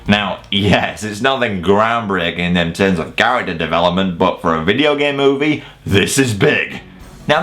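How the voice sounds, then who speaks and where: Animated, low-pitched man reviewing with sweary asides. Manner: casually sweary, mock-incredulous asides. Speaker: video reviewer and host. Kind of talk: scripted countdown review commentary.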